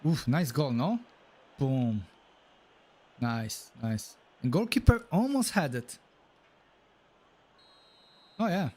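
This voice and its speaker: A man's voice: medium pitch, very animated, takes it slowly, pauses often, darkish tone, slightly rough. A man with a Polish accent streaming a football game, reacting to the match and chatting with viewers. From Poland. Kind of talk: live gameplay commentary and chat.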